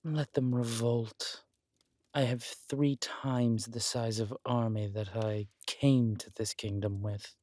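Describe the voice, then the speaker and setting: A man's voice: mid-range voice, fairly even intonation, slow pace, a little mellow, no echo, natural voice. A man playing a villainous conqueror king in a romantic roleplay audio, smug and flirtatious, teasing and haughty as he draws out his words. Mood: scornful, stubborn.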